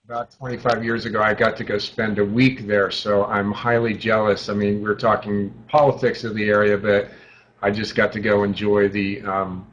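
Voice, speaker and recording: A man hosting a casual radio interview, asking relaxed, easygoing questions. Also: fairly low pitch, moderate pace, highs cut off. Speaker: radio host.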